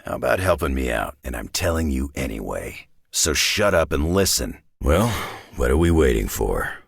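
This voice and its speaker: An actor playing a gruff, cocky, battle-hardened brawler in a video game, with a raspy growl and a dry, sardonic delivery. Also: male voice, very deep voice, big pitch swings, normal speed, dry recording, exaggerated voice. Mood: gruff, matter-of-fact.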